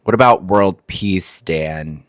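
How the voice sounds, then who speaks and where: A man's voice: low-pitched, takes it slowly, no room sound. A man from Texas hosting a reaction video, making wry, skeptical remarks that stumble over restarts. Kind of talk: casual commentary on a clip.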